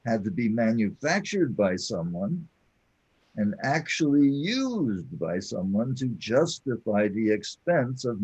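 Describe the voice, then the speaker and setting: Guy doing a reverent explainer sidekick to a god, real slow, dark raspy tone, muffled radio play recording. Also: mid-range voice, heavily acted. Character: Mike, patient, reverent male companion to a god. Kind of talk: scripted audio drama dialogue.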